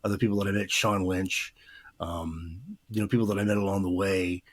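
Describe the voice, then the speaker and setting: Husky-voiced man in his fifties. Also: low voice, level tone, fairly quick. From Pacific Northwest, USA. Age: 50s. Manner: rambling, many fillers and restarts. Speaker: guest, former radio DJ. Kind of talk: casual interview chat.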